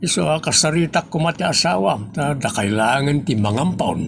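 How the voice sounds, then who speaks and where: An actor playing an older father-in-law in a comedy drama, speaking in a plain, measured way. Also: on the high side, lively intonation, gravelly, a little hiss or hum. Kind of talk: Ilocano comedy drama acting.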